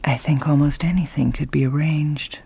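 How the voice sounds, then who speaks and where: An actress playing a glamorous, scheming wife in a radio drama, arch, sultry and teasing, with a creaky voice. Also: female voice, sits low, steady tone, moderate pace, dark tone, exaggerated voice. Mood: sly, seductive. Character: glamorous, seductive, scheming younger wife of wealth.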